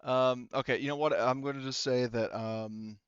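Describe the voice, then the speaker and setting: A man running a tabletop game as game master, casually improvising narration in a halting, sweary way as he thinks aloud into a headset. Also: mid-range voice, fairly even intonation, clean tone, dry recording, dull audio. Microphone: headset mic.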